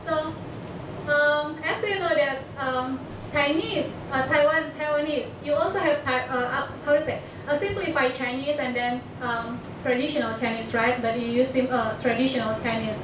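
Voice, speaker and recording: High-voiced Indonesian woman, echoey hall. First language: Batak. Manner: halting, searching for words in English. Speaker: international student speaker. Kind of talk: personal presentation to an audience. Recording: echoey hall, far mic, noisy.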